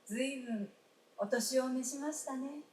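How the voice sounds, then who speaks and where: Woman acting gentle, wistful young wife, slightly high voice. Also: normal speed, close to an everyday voice. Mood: tender. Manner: polite, tender, old-fashioned feminine speech. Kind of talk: live stage radio drama acting. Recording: roomy stage, distant mic, faint noise.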